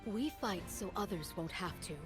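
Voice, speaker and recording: A woman's voice: medium pitch, moderate pace, over music, lightly acted. An actress playing a noble young warrior queen, resolute and compassionate, in dramatic fantasy game lines.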